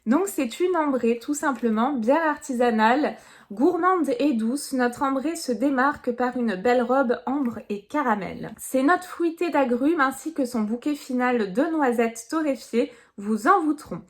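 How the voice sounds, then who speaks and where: A woman's voice: sits high, expressive, a little slow. A woman from Nice, France, chatting casually through a product unboxing review in an upbeat way with frequent fillers. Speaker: box reviewer.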